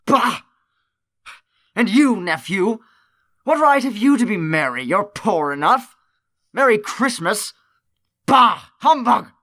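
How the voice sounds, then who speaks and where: Guy doing a grumpy old miser for a radio play, high bright voice, scoffing, really dry sound. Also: very animated, takes it slowly, pauses often, no room sound, full character voice. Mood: scornful, barking. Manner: gruff, scoffing, theatrical.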